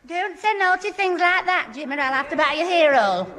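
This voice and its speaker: High-voiced bumbling, nervous young fellow. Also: male voice, animated, normal speed. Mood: nervous pretending. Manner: bumbling, muddled, stammering. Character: dim, nervous young man clumsily posing as a girl. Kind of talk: 1960s radio sitcom acting. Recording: noisy old radio recording, loud audience.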